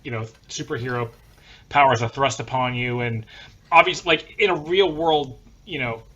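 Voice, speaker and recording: Male podcast panelist, bright mid-range voice, informal, halting and conversational. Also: medium pitch, dull audio. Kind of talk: casual podcast discussion.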